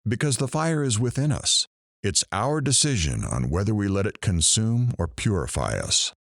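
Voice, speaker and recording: A man playing a weary, reflective radio talk show host in an audio drama, speaking in a solemn, measured, theatrical way. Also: on the low side, very animated, no echo, studio quality.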